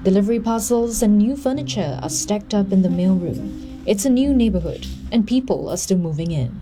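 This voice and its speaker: A woman's voice: mid-range voice, expressive, moderate pace. Woman narrating a news report over music.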